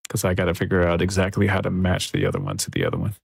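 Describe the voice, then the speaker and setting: A man mixing music on a livestream, thinking aloud in a soft, relaxed, casual way. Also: low-pitched, rapid-fire, vocal fry. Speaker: music producer streaming a mixing session. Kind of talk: casual livestream commentary while working. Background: faint music from the mix being worked on.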